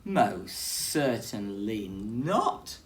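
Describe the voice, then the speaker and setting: A woman's voice: a bass voice, very animated, very slow, exaggerated voice. A woman voicing a grumpy, stern male bear in a children's storybook, declaring and scolding as it speaks. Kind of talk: children's storybook read-aloud.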